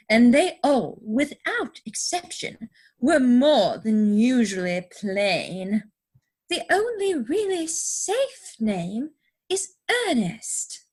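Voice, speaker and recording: An adoring, willful young lady of high society in a period stage comedy. Her voice sits very high and swoops dramatically, and she draws her words out slowly with grand affectation. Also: slightly rough, heavily acted. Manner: affected, gushing, grandly drawn-out delivery. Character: romantic, willful upper-class young English lady, theatrically adoring.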